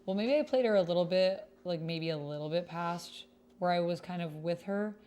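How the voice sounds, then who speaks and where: A woman's voice: fairly low pitch, moderate pace, warm tone, slight background noise. A woman streamer chatting casually and musing to herself as she plays a roleplay game. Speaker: streamer playing a solo tabletop roleplay game. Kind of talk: casual livestream chat, thinking aloud.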